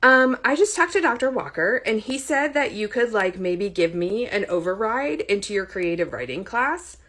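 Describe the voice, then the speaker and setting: A woman's voice: mid-range voice, animated, moderate pace, fairly bright tone, voice pushed up. A woman voicing a pushy, entitled young female college student who pleads in ditzy, filler-laden speech for an audiobook. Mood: eager, insistent.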